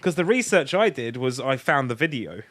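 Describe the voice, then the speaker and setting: A man's voice: medium pitch, sing-song, moderate pace, fairly bright tone, no echo. Sweary man bantering, close dry sound. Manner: sweary, crude joking. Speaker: podcast co-host. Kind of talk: casual banter chat.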